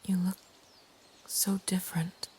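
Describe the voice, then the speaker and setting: Slow, low, whispering woman narrator. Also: little pitch movement. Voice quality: breathy, whispered. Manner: slow, hushed, deliberate delivery. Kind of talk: whispered ASMR horror storytelling, scripted narration. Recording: faint ambient background noise. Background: soft nature ambience.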